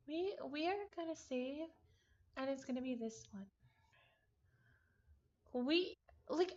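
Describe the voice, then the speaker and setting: A woman livestreaming a visual novel, chatting casually and reading game text aloud in an excitable, halting way, often repeating phrases. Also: sits high. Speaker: streamer playing a visual novel. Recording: dull lo-fi stream audio.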